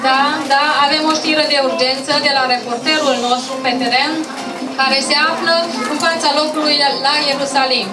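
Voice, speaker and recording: A woman's voice: sits high, steady tone, close to an everyday voice. A woman voicing an earnest, formal news presenter in a scripted puppet show. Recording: echoey distant recording over loud crowd.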